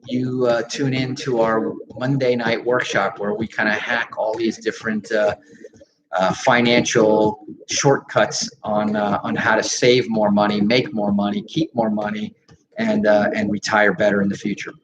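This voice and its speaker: A man hosting a live radio talk show, with an energetic, salesy way of talking and frequent fillers. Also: lowish voice, measured pace, lo-fi sound, background noise. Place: radio studio.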